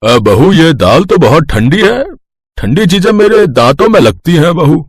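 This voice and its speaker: A man's voice: on the high side, big pitch swings, moderate pace, husky, no echo, clean studio sound, full character voice. A man voicing a mild, accommodating elderly father-in-law in a moral story, a little hesitant. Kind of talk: acted dialogue in a moral story.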